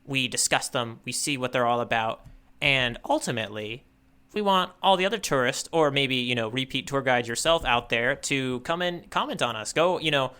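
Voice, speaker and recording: Bright-voiced man, playful podcast co-host. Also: medium pitch, normal speed, clear, resonant tone. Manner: playful banter, teasing. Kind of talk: casual chat on a manga readalong podcast.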